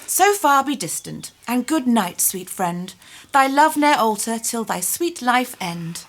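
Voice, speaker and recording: A woman's voice: fairly high pitch, lively intonation, unhurried. A woman playing a modest young woman in love, affectionate but firm, in a Shakespearean radio play. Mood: tender.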